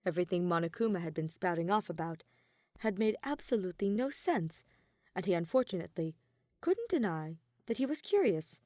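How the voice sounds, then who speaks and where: A woman's voice: lowish voice, animated, fairly quick, a little mellow, no echo, studio quality. A woman reading scripted fiction aloud as an audiobook narrator.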